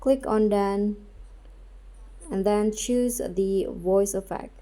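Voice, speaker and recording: A woman hosting a step-by-step tutorial voice-over, speaking in a halting way, phrase by phrase. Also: medium pitch, fairly even intonation, mellow. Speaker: tutorial host.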